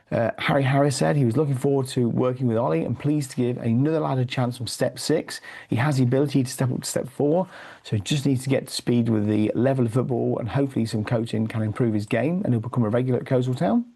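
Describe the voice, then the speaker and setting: Guy with a cold, croaky dark voice, uhs everywhere going through footy results. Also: medium pitch. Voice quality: hoarse, gravelly. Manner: many "uh" fillers and restarts. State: losing his voice from a cold. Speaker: football league reviewer and commentator. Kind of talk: weekly football review, read from notes.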